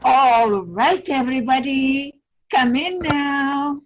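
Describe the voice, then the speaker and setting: A little girl in a family radio play. The voice is very high and slow-paced, childlike, eager and tender-hearted. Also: slight background noise. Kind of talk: family radio drama acting.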